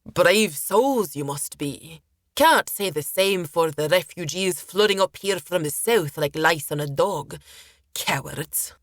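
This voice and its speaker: A woman voicing a drunken, leering male sailor with a gruff, menacing manner. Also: fairly low pitch, animated, slow pace, dry recording, studio quality. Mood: scornful.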